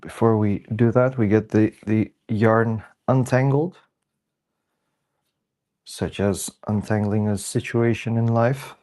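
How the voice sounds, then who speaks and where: A man's voice: on the low side, fairly even intonation, takes it slowly, a little mellow. A young man with the sniffles, hosting a casual livestream while he works and chatting to viewers as they come in. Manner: chatty, greets viewers by name. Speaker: livestream host. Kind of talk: casual live-stream chat while working.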